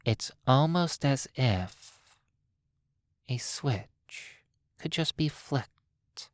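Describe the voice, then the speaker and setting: Very slow sleep hypnosis narrator, dry sound. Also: mid-range voice, lively intonation, no echo. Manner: slow, soothing delivery with long pauses.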